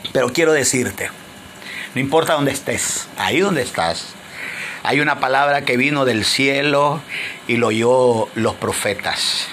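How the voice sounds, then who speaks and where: A man from Honduras with a Honduran Spanish accent, preaching a sermon with impassioned swings between loud declarations and low, hushed passages. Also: takes it slowly, pauses often. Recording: faint background noise.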